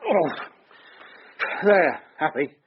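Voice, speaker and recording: A man's voice: fairly high pitch, very animated, very slow, muffled recording, full character voice. An actor playing a stubborn elderly father, grumbling and reluctant, in a radio drama. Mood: grumpy, stubborn. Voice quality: husky. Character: grumpy, stubborn elderly father with a bad elbow. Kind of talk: scripted radio drama acting.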